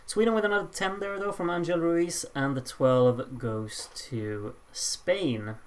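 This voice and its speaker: Someone hosting a live points vote with casual commentary, announcing results with drawn-out pauses and slipping in dry, offhand asides. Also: mid-range voice. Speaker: host announcing song contest voting results.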